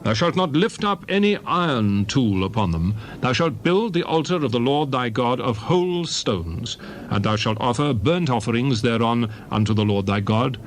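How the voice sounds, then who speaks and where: Guy doing dramatic bible narration, medium pitch, solemn and measured, bit of background noise. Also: expressive. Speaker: scripture narrator. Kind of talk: dramatized Bible reading in archaic English.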